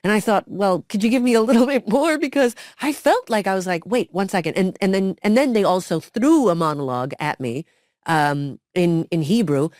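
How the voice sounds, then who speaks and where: A middle-aged woman co-hosting a casual interview, telling her story in a lively way and acting out the quotes. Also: medium pitch, moderate pace, no room sound, studio quality. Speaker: podcast co-host, former cast member.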